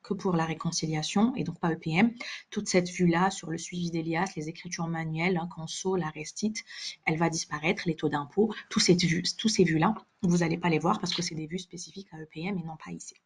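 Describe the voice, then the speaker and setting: A woman presenting a software webinar as a live explanatory demonstration, in a halting delivery with repeated words. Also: fairly low pitch, steady tone, breathy edge.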